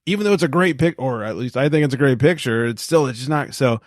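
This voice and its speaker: A man's voice: mid-range voice, lively intonation, quick pace, dry recording, studio quality. A man chatting as a podcast guest, laughing often and acting out quoted voices. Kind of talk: casual chat.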